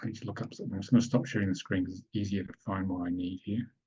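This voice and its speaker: A man hosting an online webinar, explaining calmly and checking in often with the people listening. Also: low voice, very fast, husky, dull audio. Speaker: webinar host and spiritual teacher. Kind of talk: live online webinar teaching.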